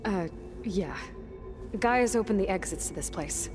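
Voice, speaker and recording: A woman playing an earnest, burdened young hunter in a video game, with a low-key, determined delivery. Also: expressive, a little slow, music in the background, natural voice. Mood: guarded, determined. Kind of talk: naturalistic video game cutscene acting.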